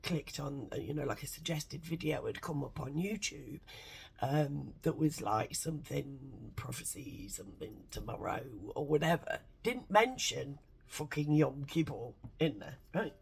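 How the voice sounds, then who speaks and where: A woman with a congested, bunged-up nose, rambling excitedly and swearing a lot in a casual vlog from home. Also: sits low, unhurried, gravelly, slightly rough. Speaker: vlogger.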